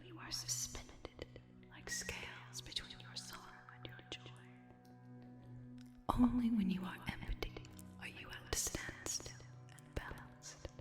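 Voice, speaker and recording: A woman whispering poetry in a gentle, hypnotic, dramatic ASMR style, as a gothic vampire hypnotist. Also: mid-range voice. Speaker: ASMR performer. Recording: echoing layered whispers over music. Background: music.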